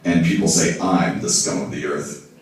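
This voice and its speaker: A man playing a wisecracking, gruff private detective puppet in a film-noir parody, slangy and wry. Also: very deep voice, steady tone, moderate pace. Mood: wry, joking. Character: wisecracking, down-on-his-luck hard-boiled male private detective puppet. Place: indoor hall, behind a puppet stage. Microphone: far camera in the audience. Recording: echoey room, distant audience camera.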